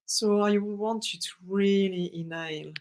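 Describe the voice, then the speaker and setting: Breathwork facilitator, mid-pitched, very slow and soothing, leading a client's guided session. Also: slight background noise. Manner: slow, gentle, soothing instructions.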